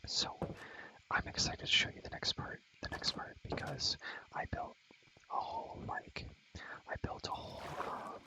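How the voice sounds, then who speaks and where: A man's voice: normal speed, rough recording. A young man whispering close to the microphone in a casual, rambling ASMR gaming chat, repeating words and phrases and using slang. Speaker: ASMR creator showing a Minecraft world.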